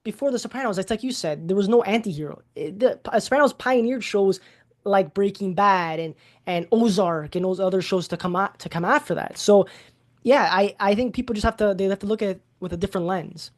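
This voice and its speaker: A woman's voice: medium pitch, brisk. A woman co-hosting an interview, talking eagerly in a rambling way with many restarts. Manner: eager, rambling, repeats words. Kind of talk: casual interview chat.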